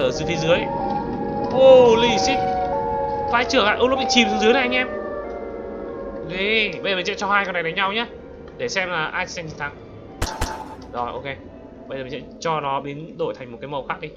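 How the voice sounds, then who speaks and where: A man giving casual, exclamatory gameplay commentary as a gaming YouTuber, throwing in English exclamations. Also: high-pitched. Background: music.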